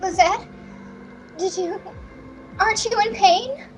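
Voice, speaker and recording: A woman's voice: extremely high pitch, slow pace, pitched above the performer's own voice. A woman voicing a proud, anguished young princess heroine in a game fan dub, earnest and indignant. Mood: defiant. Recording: music behind.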